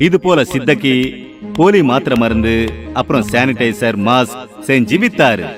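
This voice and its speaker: A male narrator with a medium-pitched voice reads a scripted children's moral tale. Music plays clearly behind him. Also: moderate pace.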